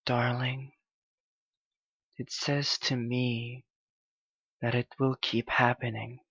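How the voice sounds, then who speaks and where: A man playing a clingy, heartbroken young yandere lover in an intimate ASMR roleplay, halting and pleading in his anguish. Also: mid-range voice, level tone, fairly bright tone, breathy edge, dry recording, dull audio, heavily acted. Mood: wounded, pleading.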